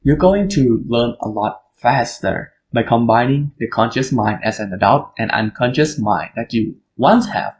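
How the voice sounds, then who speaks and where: Young thai guy hosting a solo podcast in english, thai accent, earnest, explains stuff straight to you, mid-pitched voice. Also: expressive, a little slow, few pauses. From Thailand. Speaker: podcast host. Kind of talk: solo podcast monologue on language learning.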